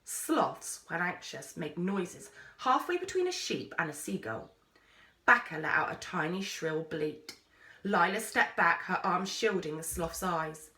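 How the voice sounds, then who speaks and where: A woman reading a children's story aloud with expressive narration. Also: fairly low pitch, unhurried. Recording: roomy, echoey sound.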